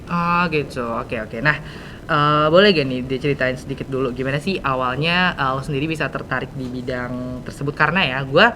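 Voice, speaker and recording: A teenage male with a Jakarta Indonesian accent, hosting a casual interview in slangy, hesitant speech full of fillers. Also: fairly quick. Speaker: podcast host. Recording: clear background noise, low rumble.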